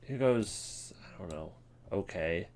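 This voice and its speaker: A man's voice: low voice, lively intonation, slow, a little mellow, a little hiss or hum. A man giving informal commentary as host of a ranking, hesitant and thinking aloud. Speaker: host ranking game voice lines. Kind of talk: casual commentary on a tier list.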